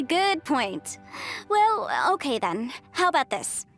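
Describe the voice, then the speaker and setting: Friendly considerate young game heroine, high voice, courteous, bit of music behind. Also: female voice, big pitch swings, slow pace, fairly bright tone, heavily acted. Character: polite, cheerful, grateful young woman, considerate and earnest. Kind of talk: animated video game acting.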